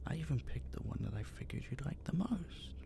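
A man playing a possessive, obsessive masked kidnapper in an intimate roleplay audio drama, coaxing and menacing in a breathy, gravelly, teasing whisper. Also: mid-range voice, lively intonation. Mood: coolly possessive. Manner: slow, dominant, cooing and teasing.

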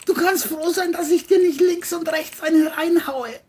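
An exasperated, high-pitched man. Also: moderate pace, a little mellow. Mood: furious. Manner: exclamatory, berating. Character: angry, scheming man, exasperated and loud.

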